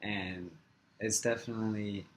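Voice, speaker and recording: A young man from Florida whose first language is Farsi, answering interview questions in a relaxed, halting way with many "like" fillers. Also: lowish voice. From Florida, USA.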